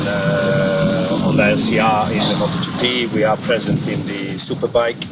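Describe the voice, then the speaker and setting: A man with an Italian accent, an executive giving an earnest interview at an outdoor event, often restarting phrases. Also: on the low side. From Italy. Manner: earnest, repeats and restarts phrases, non-native grammar. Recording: far from the mic with loud background noise.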